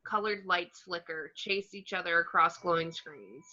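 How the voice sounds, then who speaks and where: Woman reading stage directions, bright punchy voice, slightly muffled lo-fi mic. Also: lowish voice, a little slow. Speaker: reader of the action description. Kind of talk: scripted screenplay narration. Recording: dull, lo-fi sound.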